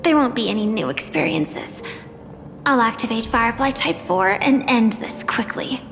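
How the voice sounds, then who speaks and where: A young woman voicing an earnest, soft-spoken game heroine, wary yet resolute. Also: fairly high pitch, animated, normal speed, creaky. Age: young adult. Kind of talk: video game cutscene voice acting. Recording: long echoing reverb, game music behind.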